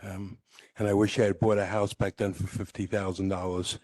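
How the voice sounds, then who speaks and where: A man introducing himself as a board member, relaxed and with wry asides. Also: sits low, moderate pace, no room sound.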